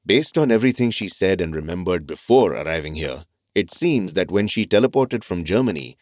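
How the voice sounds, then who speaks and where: Casting: calm mentor doctor, low voice, measured and explanatory, dry sound. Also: male voice, no room sound. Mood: reflective, explaining. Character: thoughtful, reassuring male doctor and family mentor. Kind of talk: audio drama acting.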